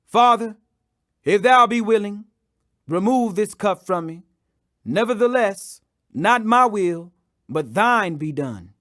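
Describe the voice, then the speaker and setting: Man plays grave male religious teacher: high voice, commanding, solemn, declamatory audiobook delivery. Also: animated, takes it slowly, pauses often, a bit bright, full character voice. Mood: pleading.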